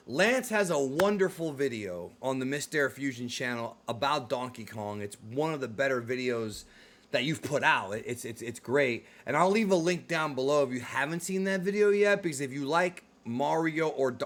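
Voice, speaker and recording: A man's voice: medium pitch, a bit bright. A man hosting a casual, enthusiastic movie and game discussion, speaking emphatically straight to the viewers. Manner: emphatic, addresses viewers directly. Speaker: host of a movie and video game discussion show. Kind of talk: casual enthusiastic video commentary chat.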